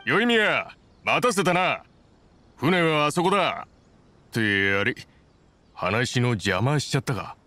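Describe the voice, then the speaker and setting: A man's voice: medium pitch, big pitch swings, slow pace, long pauses, pushed and bright, no room sound, full character voice. An actor playing a modest, dependable craftsman in an anime game, speaking in a casual, sincere and plain-spoken way. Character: modest, dependable adult male boat builder in anime game.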